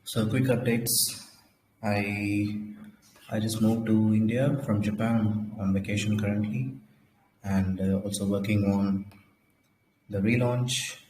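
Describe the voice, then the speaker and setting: A man with a fairly low voice and a cold talks on a casual livestream, halting and repeating himself as he goes. His delivery stays flat and level. He is heard in an echoey room with a faint hiss of noise behind him. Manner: halting, repeats words and restarts often. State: has a cold, slightly hoarse. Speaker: crypto project founder hosting a livestream.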